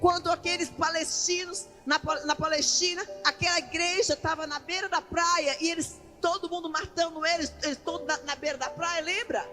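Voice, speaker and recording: A woman's voice: very high voice, steady tone, normal speed, hardly any pauses, pushed and bright. A Brazilian woman pastor preaching an impassioned, emphatic sermon in colloquial everyday speech, leaning on repetition and rhetorical questions. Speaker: pastor preaching at a women's church conference. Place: large indoor church event hall. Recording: echoey large hall PA, faint music.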